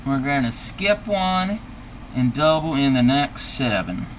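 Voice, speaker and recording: A man's voice: medium pitch, big pitch swings, slow pace, slight background noise, rough recording. A man voicing a chatty, forgetful old grandmother who rambles, repeats herself and reads viewer chat aloud while crafting on a livestream. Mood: rambling. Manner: rambling, loses her train of thought, repeats herself. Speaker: streamer.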